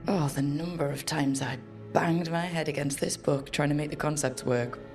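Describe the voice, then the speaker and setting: A woman playing a resolute, wry young adventurer in a video game, musing to herself in an unhurried, reflective way. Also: sits low, steady tone, music in the background. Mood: resolved.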